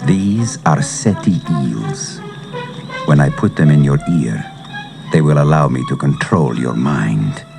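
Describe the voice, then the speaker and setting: Gravelly, very deep vengeful villain. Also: male voice, animated, exaggerated voice. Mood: menacing. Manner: slow, menacing, imperious. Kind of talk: read-along audio adventure acting. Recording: cassette transfer with music underneath.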